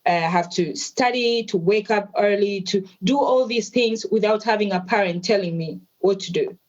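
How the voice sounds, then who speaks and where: A woman, telling her life story as an interview guest in a reflective, measured way. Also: medium pitch, darkish tone, lo-fi sound. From Tanzania.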